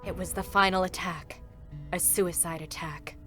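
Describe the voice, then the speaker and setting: A woman giving a measured, formal scripted narration of a dramatic game story. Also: on the low side, steady tone, sharp tone, soft background music.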